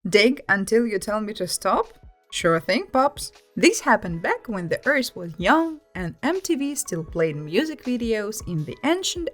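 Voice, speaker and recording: A woman host reading online stories aloud in an expressive, conversational, emphatic narrating style. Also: mid-range voice. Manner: animated, emphatic, conversational storytelling. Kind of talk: expressive scripted story narration. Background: music.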